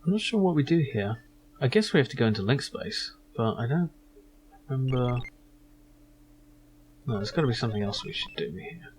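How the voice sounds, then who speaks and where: A man's voice: mid-range voice, animated, moderate pace, long pauses, a little hiss or hum, low-quality recording. A man giving casual commentary on a game he is playing, musing and a little hesitant as he goes. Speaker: gaming video host.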